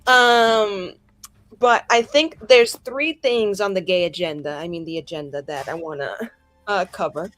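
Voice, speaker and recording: A woman's voice: on the low side, a little slow, a bit bright, no echo. A woman player telling her character's story at a tabletop game in a flippant, dramatic, slangy, sweary way. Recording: faint music behind.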